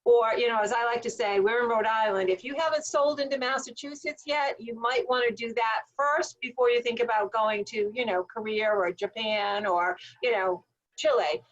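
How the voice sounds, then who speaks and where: A woman's voice: a little high, moderate pace, muffled recording. A woman on a video call webinar explaining export help in a conversational way, with many fillers. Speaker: trade center assistant director. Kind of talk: webinar panel answer.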